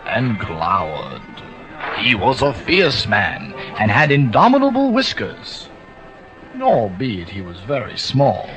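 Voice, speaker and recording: A man narrating a classic short story with expressive, dramatic storytelling. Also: on the low side, slow pace, soft background music, rough recording. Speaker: audiobook narrator. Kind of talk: scripted narration.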